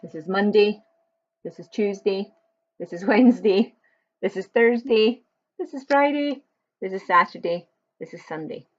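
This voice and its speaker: A woman's voice: medium pitch, measured pace, pauses often, highs cut off. A woman reading a children's picture book aloud, expressively, with chatty explanatory asides to young listeners.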